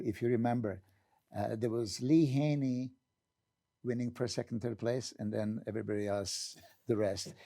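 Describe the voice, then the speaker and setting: A man's voice: mid-range voice, creaky, dark tone, dry recording, clean studio sound. A Serbian man in his sixties with a Serbian accent, a former pro bodybuilder telling stories as a guest, earnest and full of hesitant fillers. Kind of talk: storytelling interview answer.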